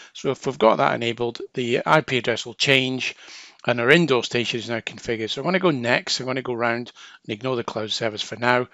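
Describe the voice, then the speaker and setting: A man's voice: medium pitch, gravelly, bright, forceful tone, no room sound. A man giving an informal hands-on tutorial as a technical trainer, plain-spoken and going step by step.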